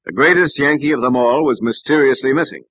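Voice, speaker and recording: An actor playing a loyal, anxious American sportswriter, earnestly narrating and acting in an old-time radio drama. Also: male voice, medium pitch, fast, a bit bright, no room sound, lightly acted. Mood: tense narrating. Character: loyal, worried male sportswriter friend, narrating. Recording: dull, dry old radio recording.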